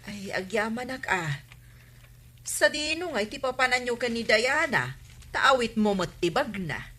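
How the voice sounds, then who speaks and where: A woman's voice: a little high, very animated, a little slow, pushed and bright, heavily acted. An actress with an Ilocano accent playing a folksy, plaintive village councilwoman in a comedy radio drama. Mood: curious.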